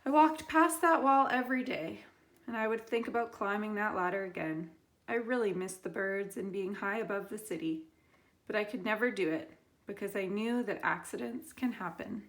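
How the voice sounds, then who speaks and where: A woman, a teacher, reading a children's picture book aloud with expressive, deliberate phrasing and dramatic pauses. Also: mid-range voice.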